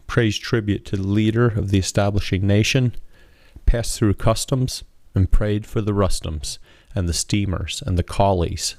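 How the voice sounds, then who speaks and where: A man with a fairly low voice performs rhyming spoken-word verse. He leans on the beat and lands each rhyme. The sound is dry and close, with little room around it. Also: darkish tone, no room sound. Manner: rhythmic, rhyme-driven delivery. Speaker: author and poet reading own work.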